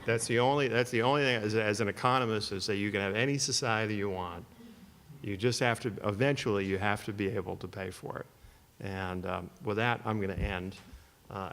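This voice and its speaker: A man's voice: lowish voice, creaky, in a large hall. A man giving a conversational conference talk, full of restarts and asides. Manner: conversational, hesitant with many restarts. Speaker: panel speaker. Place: conference hall.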